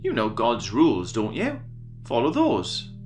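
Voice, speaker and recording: A man's voice: medium pitch, wildly swooping pitch, measured pace, darkish tone, soft background music. A man voicing a firm, kindly male teacher in a children's Bible story, emphatic and instructive.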